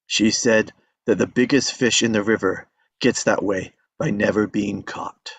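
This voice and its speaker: A man's voice: lowish voice, fairly even intonation, a little slow, gravelly, husky, heavily acted. A man playing an adventurous, easygoing young small-town man who tells his own story at a table read. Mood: wry reminiscing. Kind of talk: table-read acting with first-person narration. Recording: dull lo-fi microphone sound.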